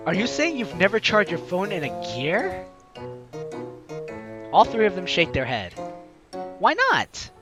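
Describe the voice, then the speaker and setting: A man's voice: high-pitched, wildly swooping pitch, unhurried, long pauses, music in the background. A man hosting a let's play, reading the story aloud in a playful, dramatic way and adding his own commentary.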